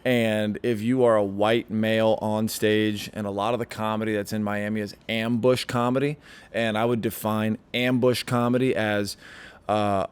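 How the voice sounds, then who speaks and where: A man's voice: on the low side, flat delivery, normal speed, clear, resonant tone. A young man, a stand-up comedian, chatting casually as a podcast guest, rambling with many fillers and restarts. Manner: rambling, lots of likes and restarts.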